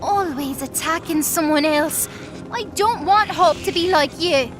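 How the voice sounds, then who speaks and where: Woman voicing a very high-pitched, timid young goddess. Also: a little slow, over music. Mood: upset, accusing. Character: young winged goddess, timid but defiant, protective. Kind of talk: audio drama acting.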